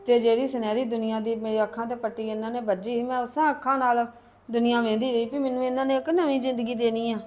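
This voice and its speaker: A woman's voice: on the high side, little pitch movement, fast talker, darkish tone, natural voice. A woman playing a deceived, remorseful young mother in a family drama, earnest and apologetic.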